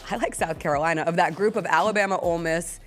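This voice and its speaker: A woman's voice: on the low side, fast talker, faint music behind. A woman hosting a sports talk show, giving casual, opinionated and analytical commentary with attention to detail.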